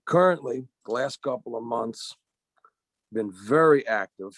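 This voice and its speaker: A man speaking as a union organizer on a video-call panel, halting and searching for words while losing his voice. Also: mid-range voice, no room sound. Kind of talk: panel remarks on a webinar.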